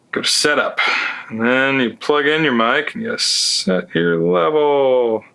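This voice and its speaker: A man's voice: mid-range voice, creaky, a bit bright, dry recording. A man doing a casual, wry walkthrough of an audio product, with sarcastic asides. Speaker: product reviewer.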